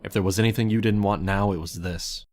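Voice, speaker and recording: A man's voice: fairly low pitch, steady tone, fast talker. A man reading scripted fan fiction as the narrator. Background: music.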